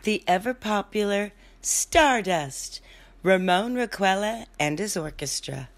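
A woman's voice: medium pitch, animated, slow pace, background noise. A woman playing a hotel ballroom announcer introducing a dance band in old-time radio style. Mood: formal, welcoming.

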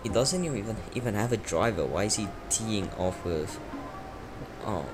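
A man's voice: lowish voice, animated, normal speed. A young man, an Afrikaans speaker, giving rambling casual gameplay commentary. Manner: rambling, filler-heavy. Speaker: game youtuber. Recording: voice-over with loud game music.

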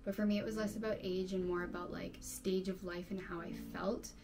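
A woman's voice: mid-range voice, level tone, fairly quick, music in the background. Chatty woman in her twenties. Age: 20s. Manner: chatty, reads out viewer questions. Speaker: channel host. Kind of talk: casual chat. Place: bedroom at home.

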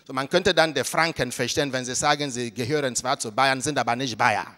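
Animated Nigerian priest in a hall. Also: male voice, medium pitch, brisk. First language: Igbo. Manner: animated, gesturing, switching into English phrases. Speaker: priest and panel guest. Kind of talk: lively panel talk. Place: large hall on stage. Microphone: handheld mic. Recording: reverberant hall.

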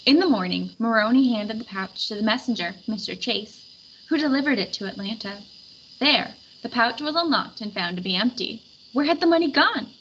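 A woman with a fairly high, bright voice reads a storybook aloud in an expressive way. The recording is a bit dull and lo-fi, with some noise behind her. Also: normal speed. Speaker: read-aloud narrator. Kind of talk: storybook read-aloud, scripted narration.

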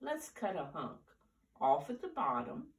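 A cheerful storybook bear read out by an older woman, eager and bouncy in his exclamations. The delivery swings up and down at an unhurried pace. It is heard a little way off in a roomy space. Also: mid-range voice, huge pitch swings, vocal fry, pitched above the performer's own voice, exaggerated voice. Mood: eager, pleased. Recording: roomy, distant camera microphone.

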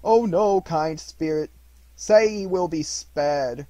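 A man's voice: a little high, takes it slowly, dark tone, dry recording, pitched above the performer's own voice. Scrooge, an old miser begging in anguish, as a man plays him. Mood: pleading. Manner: pleading. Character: old male miser, pleading and remorseful.

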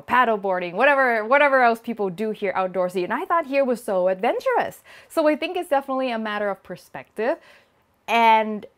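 A woman from Thailand in her thirties chats to camera in her home kitchen. She talks in a lively, friendly way and laughs easily as she answers viewer questions. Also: on the high side. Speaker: cooking channel host. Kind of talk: casual Q&A vlog. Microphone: small lapel mic.

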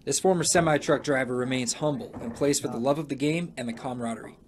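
A man's voice: mid-range voice, fast, a little hiss or hum. An American man reading scripted narration as a television news reporter.

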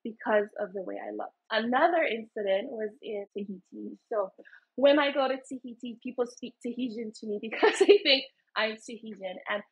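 A woman's voice: on the high side, moderate pace, gravelly, breathy edge. A woman from Hawaii, a co-host in a casual panel chat over a video call, telling stories earnestly. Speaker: podcast co-host. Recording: muffled lo-fi call audio.

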